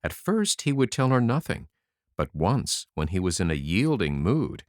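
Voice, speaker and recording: A man narrating a fairy tale as an audiobook, in an expressive storytelling style. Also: deep voice, normal speed, dry recording, studio quality.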